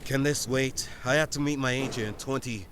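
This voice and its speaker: An actor playing an easygoing, supportive young boyfriend in a comedy audio drama. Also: male voice, medium pitch, fairly quick, a bit bright, slight background noise, lightly acted.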